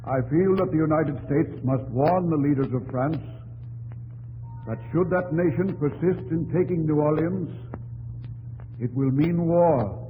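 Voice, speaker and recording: Actor as grave, resolute president, noisy old radio. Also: male voice, on the high side, phone-quality sound, lightly acted. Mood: stern warning. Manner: deliberate, emphatic, formal. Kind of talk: 1950s radio drama acting.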